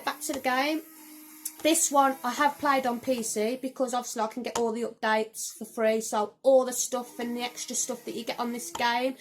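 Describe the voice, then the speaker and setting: Chatty woman, slightly croaky. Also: on the high side, a little hiss or hum, poor audio. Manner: chatty, rambling, with frequent "um" and "so, yeah". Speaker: gaming vlogger. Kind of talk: casual game collection review. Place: home room.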